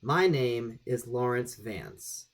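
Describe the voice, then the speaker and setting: A man's voice: medium pitch, animated, takes it slowly. Man voicing a subdued, drowsy entranced young man. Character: hypnotised young man, subdued, answering from trance.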